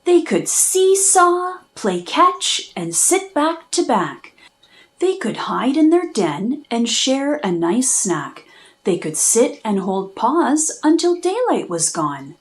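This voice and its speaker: A woman reading a children's storybook aloud, narrating expressively in measured, rhythmic rhyme. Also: high-pitched, sing-song. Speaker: children's book reader and host.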